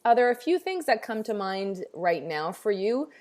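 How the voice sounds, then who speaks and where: A woman's voice: medium pitch, very animated, normal speed, a little mellow. A woman hosting a podcast, chatting enthusiastically with a guest over a call. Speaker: podcast host. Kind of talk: casual interview chat. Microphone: remote call setup.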